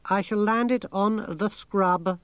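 Man acting out unflappable alien machine, raised pitch, exact, matter-of-fact, storybook character. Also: fairly even intonation, takes it slowly, a bit bright, smooth, no echo. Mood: calm, busy.

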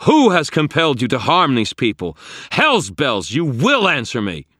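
A defiant wizard in an audiobook performed by a man, straining under effort. His voice is grating and forced high as he shouts. He barks demands with commanding force, in a close, dry recording. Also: huge pitch swings, moderate pace, no room sound. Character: embattled male wizard narrator, straining, defiant, sarcastic.

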